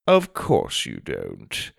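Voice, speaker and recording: A snobbish headmaster from a storybook, acted out by a male narrator, speaks with stretched, precise words. His pitch swoops with disdain, and there is some gravel in the voice, captured close and dry. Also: wildly swooping pitch, no echo, exaggerated voice. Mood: sarcastic. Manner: haughty, drawn-out, precise delivery. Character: snobbish, fancy male headmaster of a manners school.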